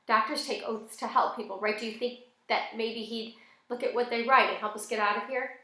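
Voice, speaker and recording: A woman's voice: fairly high pitch, steady tone, a little fast, bright, forceful tone. A woman voicing a desperate, bossy young man leading a robbery in a story, with a strained, commanding manner. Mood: exasperated. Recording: roomy, echoing space.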